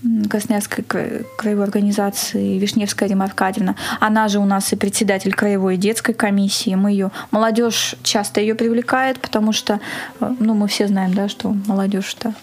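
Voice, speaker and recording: A woman's voice: medium pitch, flat delivery, moderate pace. A woman being interviewed as an event organiser, talking at length in a casual way and rephrasing for emphasis.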